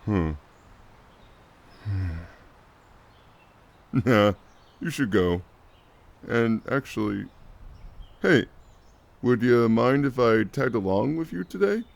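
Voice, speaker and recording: A man's voice: medium pitch, expressive, slow, vocal fry, pauses often. A man voicing a wry, drowsy, laid-back skeleton brother in a cartoon comic dub, with a casual, joking drawl. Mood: relaxed.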